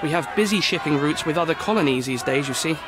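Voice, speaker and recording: An actor playing a harried, defensive city hall official in a video game, speaking formally and precisely. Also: male voice, fairly high pitch, lively intonation, fast, crowd noise, noisy background, natural voice. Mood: resigned, explanatory. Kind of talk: video game cutscene acting.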